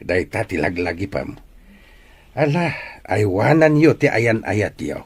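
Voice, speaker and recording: A man acting a gentle, wise old father who gives advice in a radio-style drama. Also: lowish voice, sing-song, measured pace, breathy edge, exaggerated voice. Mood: tender advice.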